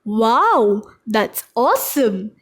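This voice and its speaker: A woman's voice: fairly high pitch, big pitch swings, very slow, voice pushed down, full character voice. A woman voicing a friendly, encouraging bear puppet with simple childlike delivery in a children's show. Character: friendly bear puppet, kindly teacher of manners.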